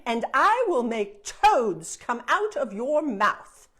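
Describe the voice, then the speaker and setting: A woman's voice: a little high, very animated, takes it slowly, a bit bright, slightly rough, heavily acted. A woman voicing a tart, old-fashioned fairy who speaks in a prim, dry, scolding manner.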